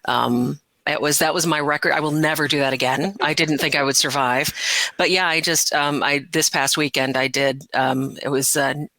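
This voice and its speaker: A woman's voice: deep voice, fairly even intonation, moderate pace, sharp tone, no echo. A middle-aged woman chatting as an interview guest, telling stories about her work in a casual, self-deprecating way. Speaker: interview guest, audiobook narrator. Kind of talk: casual interview, recounting career.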